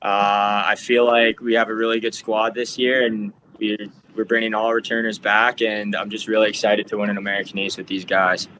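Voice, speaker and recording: Man, young adult, modest, hesitant, low flat voice, faint background noise. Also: normal speed, hardly any pauses, rough recording. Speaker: lacrosse player. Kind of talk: interview answers.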